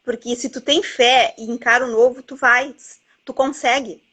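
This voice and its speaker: Woman, 50s, higher register; casual book-chat host who rambles with tag questions. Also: fairly even intonation, moderate pace, sharp tone, husky. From southern Brazil border region. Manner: rambling, lots of "né" and "assim, ó". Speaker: bookseller interviewing an author.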